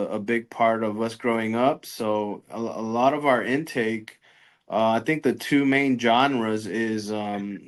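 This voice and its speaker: A man around his thirties or forties talking casually as an interview guest, hesitantly, often repeating words. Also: fairly low pitch. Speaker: guest, music media grader.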